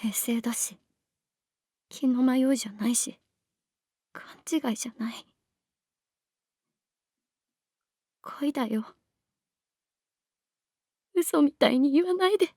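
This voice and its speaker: A woman's voice: sits high, animated, measured pace, pauses often, a little mellow, breathy edge, no room sound, clean studio sound, exaggerated voice. An actress playing a vulnerable, earnest teenage schoolgirl in a Japanese audio drama, with a hesitant, emotionally raw delivery. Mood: hurt, pleading. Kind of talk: Japanese anime-style audio drama acting.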